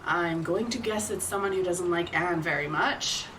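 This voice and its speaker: A woman's voice: on the low side, normal speed, recorded from a distance, very noisy, close to an everyday voice. A woman as a blunt, sardonic brawler. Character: blunt, fight-hungry woman fighter with guns and magic. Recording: a little off-mic.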